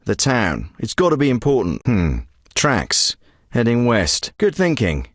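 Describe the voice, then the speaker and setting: A man's voice: medium pitch, huge pitch swings, measured pace, no room sound. A man voicing a middle-aged special forces handler in a video game, giving terse, businesslike briefings. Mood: brisk. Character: clipped, steady male military handler, middle-aged. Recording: dry close studio recording.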